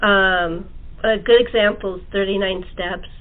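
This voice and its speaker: American female host, chatty and hesitant, mid-range pitch, heard over a thin phone connection. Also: fairly even intonation, unhurried. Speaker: talk show host. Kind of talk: casual chat interview. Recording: tinny phone-line audio.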